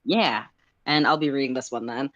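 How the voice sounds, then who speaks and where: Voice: medium pitch, moderate pace, a bit bright, muffled recording. A casual, self-deprecating co-host who chats and reads fan fiction aloud on a livestream. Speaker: livestream co-host and story reader.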